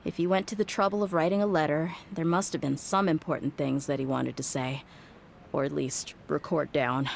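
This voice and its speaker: A tough young mercenary woman talks in a low, blunt voice with dry, wry sighs. She sounds exasperated yet steady, as in a game cutscene. A faint layer of noise sits behind her. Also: moderate pace, gravelly. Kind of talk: game voice acting.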